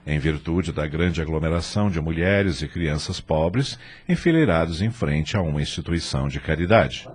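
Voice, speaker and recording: A Brazilian man narrating a scripted audio drama in a measured, formal manner. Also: very deep voice, expressive, slightly rough.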